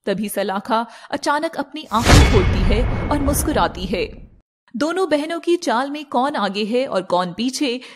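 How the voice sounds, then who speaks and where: A woman's voice: on the high side, lively intonation, measured pace, very noisy. A woman narrating a fairy tale in a scripted, dramatic storytelling style.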